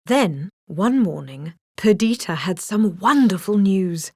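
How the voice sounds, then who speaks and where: A woman's voice: mid-range voice, lively intonation, slow, warm tone, husky, no echo, studio quality. A woman reading a scripted children's story as a storybook narrator.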